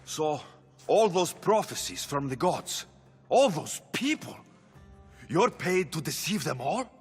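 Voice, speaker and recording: An actor playing a determined mercenary captain in a video game, firm and quietly pressing. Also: male voice, on the high side, very animated, takes it slowly, slightly rough, soft background music, exaggerated voice. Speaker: game protagonist.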